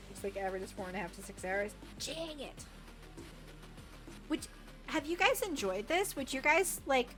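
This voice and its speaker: Bubbly, expressive woman livestreamer. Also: a little high. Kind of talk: casual live game stream chat with viewers.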